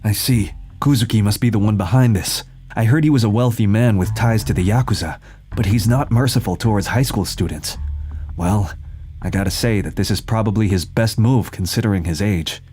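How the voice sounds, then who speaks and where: A man's voice: lowish voice, brisk, darkish tone, slight background noise, natural voice. A man voicing an earnest teenage boy, a secret bodyguard posing as a meek student, in a manga dub. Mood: tense, calculating. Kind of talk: anime-style manga dub, acted dialogue and narration. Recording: music behind the voice.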